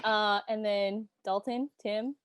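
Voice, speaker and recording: A young woman hosting a casual student podcast, chatting in a relaxed way with lots of filler words. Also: medium pitch, takes it slowly, no echo. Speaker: podcast host.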